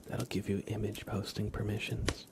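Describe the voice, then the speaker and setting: A man's voice: fairly low pitch, level tone, normal speed, very noisy. A man hosting an ASMR livestream in a whispered, casual and hesitant manner, chatting and commentating. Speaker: live streamer, host.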